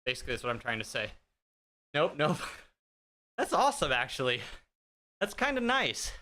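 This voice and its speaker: Bright-voiced joking male streamer. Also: medium pitch, wildly swooping pitch, normal speed. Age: 30s. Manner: joking, self-deprecating, chatty; talks while riding an indoor bike. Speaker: fitness streamer. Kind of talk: casual live-stream chat with viewers. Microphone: streaming desk mic with noise gate.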